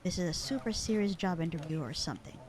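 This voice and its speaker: A woman playing a bored, sarcastic, slightly flirty secretary in a casual roleplay audio drama, with a deadpan, slangy delivery. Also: lowish voice, fast, noisy background, natural voice. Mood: playful, sarcastic. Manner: deadpan, slangy, unbothered drawl.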